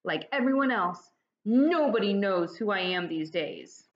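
A woman's voice: mid-range voice, animated, unhurried, natural voice. A woman voicing a sulky, jealous little boy who grumbles and pities himself, in a children's storybook. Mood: wistful. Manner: grumbling, self-pitying. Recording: dull lo-fi webcam audio.

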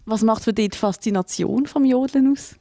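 A woman's voice: on the high side, moderate pace, creaky, dark tone, dry recording. A woman in her thirties with a Swiss German accent, interviewing guests for TV with friendly, curious questions, speaking into a handheld mic. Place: small indoor studio room.

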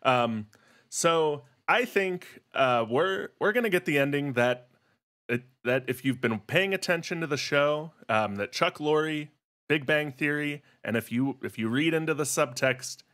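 A man's voice: medium pitch, a little slow, fairly bright tone. A man speaking as a podcast panelist, making his case in a casual, conversational debate style.

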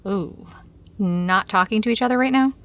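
A woman's voice: mid-range voice, normal speed, a bit bright, natural voice. An actress playing a supportive, take-charge woman friend who teases, in a naturalistic audio drama. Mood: upbeat, coaxing.

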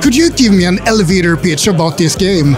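A man's voice: sits high, expressive, a little fast, over loud music. A man hosting casual interviews at a busy indoor event, asking playful, jokey questions into a handheld mic. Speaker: host interviewer. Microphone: handheld mic held out to guests.

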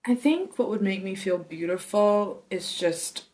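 A woman in her twenties from Taiwan, a dancer, speaking softly and thoughtfully in an interview with some halting pauses. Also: medium pitch, expressive, a little hiss or hum. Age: 20s. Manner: soft-spoken, thoughtful, halting. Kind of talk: interview testimony. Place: dark studio indoors.

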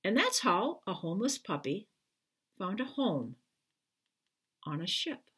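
A woman's voice: mid-range voice, sing-song, a little slow, a bit bright, husky. A woman in her sixties reading a children's storybook aloud expressively, with careful, emphatic phrasing. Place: small furnished room at home, indoors.

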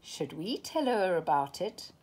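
A woman reads a picture book for storytime. Her delivery is slow and animated, with dramatic emphasis and a slightly husky voice. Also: on the low side. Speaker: storytime reader. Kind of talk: expressive children's picture-book read-aloud.

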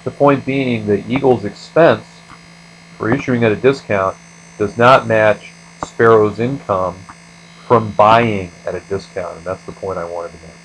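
A man's voice: on the low side, a little slow, slight background noise, poor audio. A man giving a step-by-step screencast lecture as an instructor, explaining things methodically. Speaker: accounting instructor.

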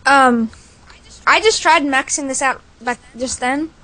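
A woman's voice: high voice, measured pace, bright, forceful tone. A woman talking casually with a friend over voice chat while gaming, chatty and hesitant, full of fillers. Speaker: gamer.

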